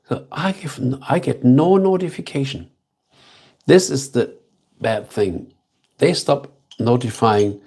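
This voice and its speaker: A man with a German accent hosting a casual live stream, chatting slowly and thoughtfully with viewers and repeating himself between fillers. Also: medium pitch, warm tone, breathy edge. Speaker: livestream host.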